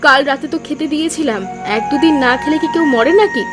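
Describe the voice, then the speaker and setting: A woman voicing a greedy cartoon woman who talks in a shrill, quick-tongued way. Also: over music, close to an everyday voice.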